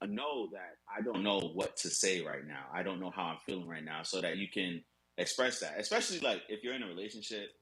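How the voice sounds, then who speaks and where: A man with a deep, low voice talks as a guest on a podcast, relaxed and reflective. His tone is bright and forceful. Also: a little fast, rough recording. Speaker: radio host guest. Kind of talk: casual podcast conversation.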